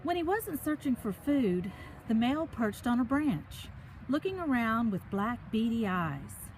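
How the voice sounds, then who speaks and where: Lively high-voiced woman reading to children. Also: a little slow, slight background noise, low-quality recording. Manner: addresses young listeners directly in simple, encouraging asides. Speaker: children's story reader. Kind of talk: read-aloud of a picture book with chatty asides to children. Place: outdoors on a park trail.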